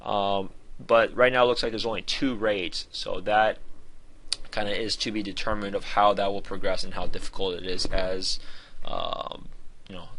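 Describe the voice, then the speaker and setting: A man's voice: lowish voice, bright, forceful tone. A man giving an informal game review in a conversational, opinionated and emphatic way.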